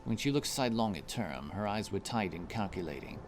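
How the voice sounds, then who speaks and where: A man narrating a scripted fantasy story in a measured, deliberate storytelling manner. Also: fairly low pitch, expressive, slight background noise.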